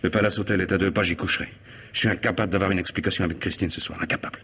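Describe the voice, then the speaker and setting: A man acting in a French radio mystery play as a betrayed, distraught husband, sardonic and agitated while forcing himself to stay calm. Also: low voice, rapid-fire, noisy background. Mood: bitter, agitated.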